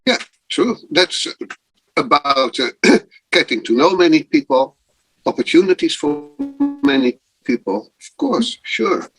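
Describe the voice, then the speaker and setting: A man, a guest improviser, speaking casually and thoughtfully over a video call, with frequent pauses. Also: fairly high pitch, big pitch swings, a bit bright, breathy edge, no room sound, soft background music, poor audio. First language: not German. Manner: slow, thoughtful, pausing often.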